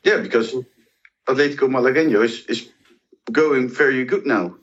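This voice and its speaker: A man's voice: mid-range voice, normal speed, fairly bright tone. A man co-hosting a casual interview, asking questions in a jokey way. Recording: slightly dull, lo-fi audio.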